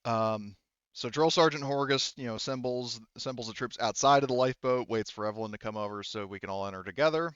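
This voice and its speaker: Smooth-voiced man running a game, slightly dull audio. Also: medium pitch, quick pace, a bit bright, dry recording. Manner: informal, frequent swearing. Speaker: game master. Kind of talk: casual improvised tabletop game narration.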